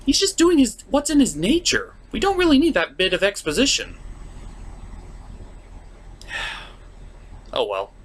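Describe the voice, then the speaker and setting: A man's voice: very high-pitched, huge pitch swings, a little slow, pauses often, a bit bright. A man hosting a comedic review, narrating from a script with sarcastic, mock-exasperated asides. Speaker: host of a comedic cartoon review show.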